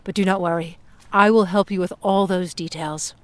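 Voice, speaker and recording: An actress playing a composed envoy from the future, formal, precise and businesslike, in an audio drama. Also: female voice, fairly low pitch, fairly even intonation, moderate pace, dry recording, lightly acted. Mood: precise, instructive.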